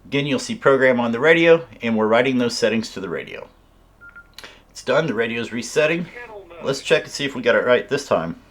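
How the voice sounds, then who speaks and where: A man's voice: mid-range voice, expressive, a little fast, a bit bright. A man giving a casual hands-on product tutorial, explaining things informally step by step as a reviewer. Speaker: radio reviewer.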